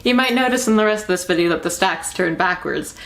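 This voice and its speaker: A woman's voice: on the low side, fast, fairly bright tone. A young woman talking casually and enthusiastically, rambling through book recommendations with emphatic repeats. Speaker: book reviewer and video host.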